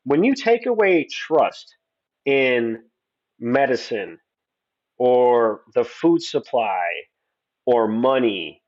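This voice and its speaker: A man's voice: mid-range voice, sing-song, extremely slow, pauses often, darkish tone, dull audio. A man in his forties, a guest on a livestream, talking earnestly and at length with many restarts. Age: 40s. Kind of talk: opinionated conversation.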